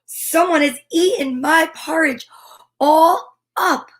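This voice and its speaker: Storybook baby bear, a woman doing the voice: tiny, squeaky, tearful. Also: slow pace, slight background noise, rough recording. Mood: distressed. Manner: small, squeaky, near tears. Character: tiny, upset little boy bear.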